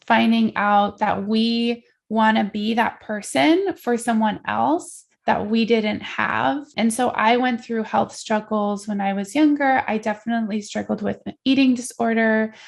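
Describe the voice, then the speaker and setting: A woman's voice: fairly high pitch, unhurried, creaky. A woman from the Netherlands, a life coach guest, talking casually and reflectively in an interview.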